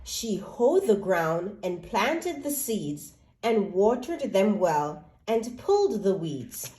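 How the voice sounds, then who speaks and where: A woman reading a children's story aloud as an expressive narrator. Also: mid-range voice, slow pace, slight background noise, poor audio.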